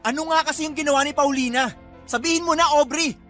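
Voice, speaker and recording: Hot-headed filipino boyfriend in radio drama, high strained voice, rapid demanding lines. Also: male voice, bright, forceful tone. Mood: suspicious, demanding. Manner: agitated, demanding. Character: indignant cheating live-in boyfriend, hot-tempered. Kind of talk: Filipino radio melodrama acting. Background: dramatic music.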